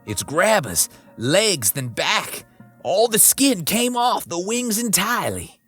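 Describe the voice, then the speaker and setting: A man narrating a horror western story in the first person, with folksy, rough frontier grammar, over background music. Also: high-pitched, big pitch swings, takes it slowly, a bit bright.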